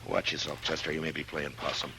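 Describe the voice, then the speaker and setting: An American man acting a stern, weary frontier marshal in 1950s radio drama, terse and forceful. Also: rumbling low, little pitch movement, quick pace, very noisy. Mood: grim, frustrated. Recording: vintage radio recording with music.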